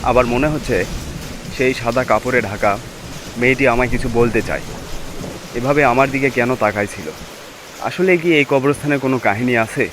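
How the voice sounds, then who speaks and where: A man's voice: medium pitch, a little fast, long pauses, lightly acted. A man playing a village husband in a cartoon ghost story, talking in a dramatic storytelling way, with rain noise behind him. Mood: brooding, uneasy. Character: frightened, brooding village husband in a ghost tale. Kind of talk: Bengali cartoon story acting. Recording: noisy, rain and ambience behind voice.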